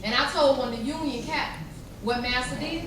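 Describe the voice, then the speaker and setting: A woman performing as a formerly enslaved woman who recounts her life in dramatic, deliberate stage storytelling. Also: high voice, natural voice. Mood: solemn recounting. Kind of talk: dramatic readers theater storytelling. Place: large echoey chapel during a live performance. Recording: cavernous echo, distant mic, noisy.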